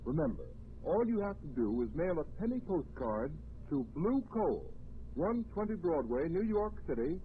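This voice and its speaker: Brisk old-time radio announcer, man. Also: a little high, sing-song, a little hiss or hum. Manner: brisk, persuasive sales delivery. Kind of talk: 1930s radio announcing and ad read. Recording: tinny old radio broadcast.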